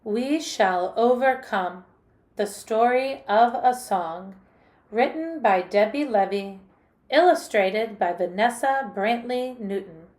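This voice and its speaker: A woman's voice: on the high side, lively intonation. A woman music teacher who leads children through a song in call and response, then reads a story aloud. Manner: slow, gentle call-and-response singing with a ukulele. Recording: roomy echo with loud ukulele.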